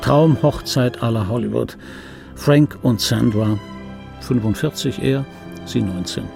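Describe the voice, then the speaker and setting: An actor playing a wry, world-weary middle-aged film dubbing actor, reminiscing in a dry, laconic, intimate radio play monologue with a gravelly, husky voice. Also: male voice, mid-range voice, unhurried, over music. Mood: dry, ironic. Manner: dry, laconic, musing aloud. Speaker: radio play actor, first-person narrator.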